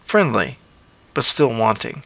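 A man's voice: medium pitch, animated, measured pace, vocal fry, a bit bright, dry recording. A man narrating a scripted first-person story as an audio fiction reader.

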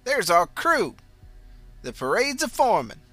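A sly, teasing elderly ghost woman, put on by a man speaks in a high, strained register with a Louisiana Creole drawl. She sounds forceful and bright, and faint music plays behind her storybook scene. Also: huge pitch swings, measured pace, dry recording, exaggerated voice. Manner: drawling dialect, chuckling.

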